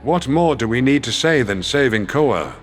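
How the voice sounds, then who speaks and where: A man's voice: medium pitch, animated, normal speed, soft background music, voice pushed down, natural voice. A man voicing a resolute, plainspoken alien president giving an emphatic formal speech in a sci-fi audio story.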